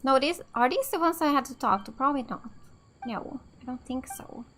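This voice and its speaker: Bright, high-voiced female gamer from Finland. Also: moderate pace, slight background noise. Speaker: gamer, let's play host. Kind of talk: casual let's play commentary. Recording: game music behind.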